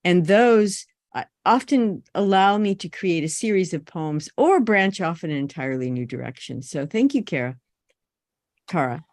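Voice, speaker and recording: Lowish-voiced woman, hesitant and reflective. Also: animated, moderate pace, a little mellow, no echo, studio quality. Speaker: poet on a panel. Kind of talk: conversational panel remarks. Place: online video call.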